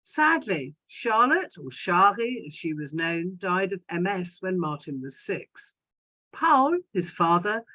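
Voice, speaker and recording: A woman's voice: mid-range voice, a bit bright, poor audio. A middle-aged or older woman, a guest speaker, reading a scripted narrative talk aloud.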